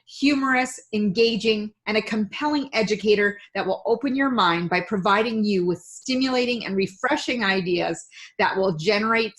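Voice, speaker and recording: A woman's voice: medium pitch, a bit bright. A woman hosting an interview show, upbeat and enthusiastic as she reads her guest's introduction. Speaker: show host.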